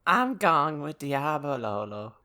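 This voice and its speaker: Woman as a lively, low-voiced teen goth girl. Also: slow, exaggerated voice. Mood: chummy. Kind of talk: dramatic reading of fan fiction.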